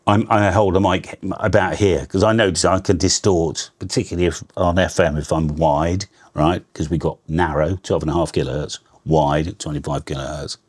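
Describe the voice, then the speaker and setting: A man giving a casual hands-on radio tutorial, a loud, energetic talker who explains in a chatty way with frequent restarts. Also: sits low, moderate pace, hardly any pauses. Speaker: radio channel host.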